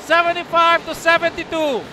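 A man's voice: very high voice, flat delivery, moderate pace. A man, a coach, giving color commentary beside the play-by-play caller, analysing plays and echoing his partner. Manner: analytical, echoes and agrees with partner. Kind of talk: live basketball commentary. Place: courtside booth in a packed arena. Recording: noisy arena broadcast.

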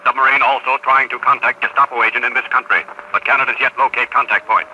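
An actor playing a brisk government agent relaying clipped, official dispatches in a 1940s radio drama. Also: male voice, mid-range voice, flat delivery, bright, forceful tone. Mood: urgent, official. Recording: dull, noisy 1940s radio recording.

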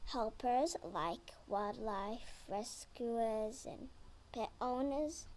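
A girl reading a children's picture book aloud, slowly and carefully, sometimes stumbling over words. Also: fairly high pitch, darkish tone, slight background noise. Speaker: reader.

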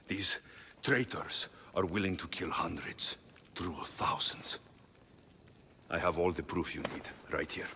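An actor playing a bold young Spartan warrior with a Greek accent, blunt and accusatory, in a video game. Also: male voice, low-pitched, steady tone, measured pace, long pauses, bright, forceful tone, exaggerated voice. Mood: accusing. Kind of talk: video game cutscene acting. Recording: reverberant hall, some background noise.

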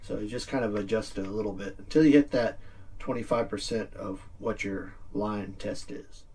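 A middle-aged man with a lowish, rough-edged husky voice presents a how-to video. He explains things informally and plainly, like a hobbyist at a table. The microphone sits a little way off from him. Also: normal speed, a little mellow, distant mic. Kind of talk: casual instructional demonstration. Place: small indoor room with green screen backdrop.

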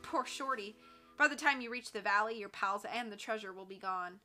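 A woman's voice: mid-range voice, moderate pace, bright, forceful tone, soft background music. A woman voicing a cool-headed, defiant girl sleuth with a bold, taunting manner in an audiobook.

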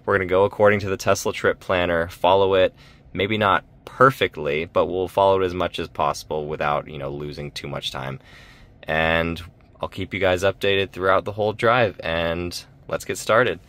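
A man's voice: deep voice, fairly even intonation, normal speed, a bit bright. A man casually chatting to viewers in a road trip vlog, upbeat and talkative, speaking from inside his car. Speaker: vlogger. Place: inside a parked or moving car.

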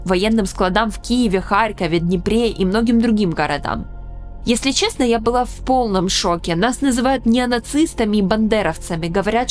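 A young woman from Ukraine, speaking straight to camera with a studio mic in a small room, narrating her own story in an earnest, measured, solemn way. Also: mid-range voice. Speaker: channel host. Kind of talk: scripted personal narration. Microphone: studio microphone in frame.

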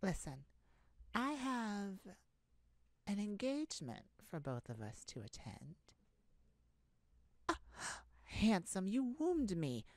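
A woman's voice: fairly low pitch, darkish tone, no echo, heavily acted. A woman playing a charming, glamorous lady thief in a romantic ASMR roleplay, coy and teasing, speaking sweetly to the listener. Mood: coy, inviting. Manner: coy, teasing, leisurely with breathy chuckles. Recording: music behind the voice.

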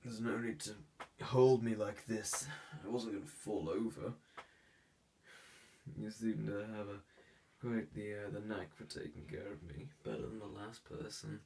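A haughty, sick young heir, played by a man in an ASMR roleplay talks in a formal, hesitant way, stuffy with a cold. His voice sits low and unhurried. The mic is a little distant, with faint hiss behind. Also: fairly even intonation, vocal fry, warm tone.

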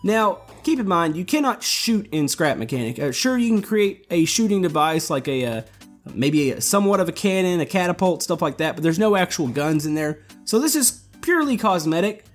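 Male gameplay host, slightly high voice, loose rambling tour, faint music bed. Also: brisk. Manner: relaxed, rambling, many fillers and restarts. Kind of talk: casual walkthrough commentary. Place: voice-over while playing a building game.

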